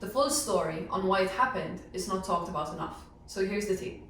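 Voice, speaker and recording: A woman's voice: mid-range voice, expressive, brisk, fairly bright tone, a little hiss or hum. A woman chatting casually while leading a team table read. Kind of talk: casual chat. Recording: echoey room, distant mic.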